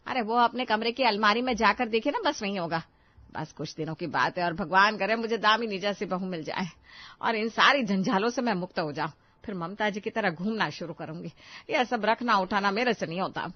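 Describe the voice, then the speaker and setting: A woman's voice: medium pitch, fairly quick, no room sound, dull audio, lightly acted. A woman voicing a domineering middle-aged Indian mother-in-law, exasperated and dramatic in a family story. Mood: grumbling, wishful.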